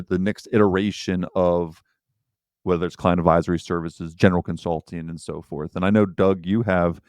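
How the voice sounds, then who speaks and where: A man with a very deep voice muses earnestly as a podcast co-host, in a relaxed conversational tone. The sound is dry and close to the mic. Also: dry recording. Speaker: podcast co-host, firm owner. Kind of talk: casual chat.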